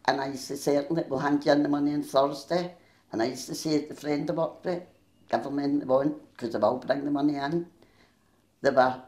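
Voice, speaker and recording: An older woman with a gruff voice and a Glaswegian Scottish accent, chatting through her memories in an oral history interview. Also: rumbling low, darkish tone, roomy sound. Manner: chatty storyteller, laughs easily. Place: small indoor room. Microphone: handheld mic held near her.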